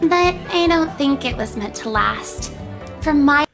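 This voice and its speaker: A gushing teenage cartoon chicken schoolgirl narrates her diary dreamily. The voice is high, with clear music underneath. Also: lively intonation, a little slow, heavily acted. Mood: dreamy, lovesick.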